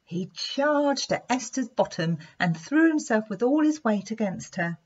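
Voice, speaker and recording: A woman reading a children's story aloud in an expressive, deliberate storytelling manner. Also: fairly high pitch, breathy edge. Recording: dull lo-fi sound.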